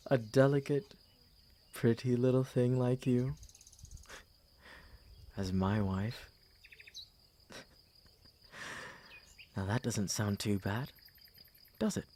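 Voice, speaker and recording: A man playing a smug, condescending young prince with a pompous, smooth manner in an ASMR fantasy audio roleplay. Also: mid-range voice, extremely slow, pauses often, slight background noise. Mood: gloating, patronizing. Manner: smooth, pompous, theatrically expressive.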